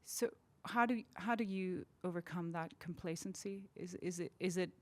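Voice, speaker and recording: A woman from Nepal hosting an interview, asking thoughtful questions with frequent halting restarts. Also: on the low side. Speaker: host.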